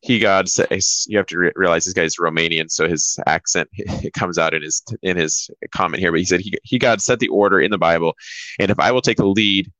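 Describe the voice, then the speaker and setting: A man's voice: sits low, brisk, fairly bright tone, dry recording, low-quality recording. A man co-hosting a church talk show, chatting casually in a rambling way. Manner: rambling, many fillers and restarts. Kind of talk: casual chat.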